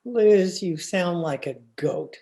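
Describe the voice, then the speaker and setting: Flustered, slow-talking radio husband man acting. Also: sits high, creaky, mellow, full character voice. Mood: exasperated. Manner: hesitant, exasperated. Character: flustered, mild-mannered banker husband in a radio sitcom. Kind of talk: old-time radio comedy acting.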